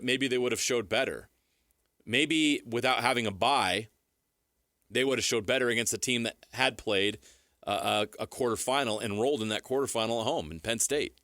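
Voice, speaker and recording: An American man delivers opinionated sports talk in a fairly low, forceful voice. He is emphatic and firm, restating points to drive them home. The recording is very dry and free of room sound. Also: no room sound. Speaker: sports talk show commentator.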